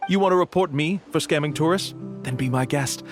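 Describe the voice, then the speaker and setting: A man playing a smug, smooth-talking scammer tour guide in a video game, glib and self-assured. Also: a little high, a little fast, over music, lightly acted. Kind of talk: video game character acting.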